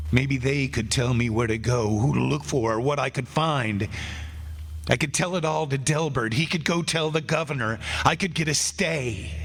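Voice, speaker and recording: A man's voice: lowish voice, husky. A man playing an anguished, desperate prisoner awaiting the gallows in an old-time style radio drama monologue, brooding and haunted. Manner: slow, brooding delivery breaking into pleading. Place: on stage, live radio play performance.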